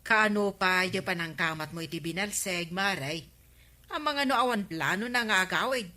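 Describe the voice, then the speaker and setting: A woman's voice: on the low side, normal speed, pushed and bright. An actress playing a village woman with a Filipino accent who questions and advises a neighbor in a radio comedy drama. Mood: questioning.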